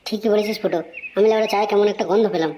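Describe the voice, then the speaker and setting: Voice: mid-range voice, fairly even intonation, very fast, heavy background noise, close to an everyday voice. A performer voicing a gossipy young male sidekick in a comedy cartoon, agreeable and teasing. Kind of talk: amateur comedy cartoon dialogue.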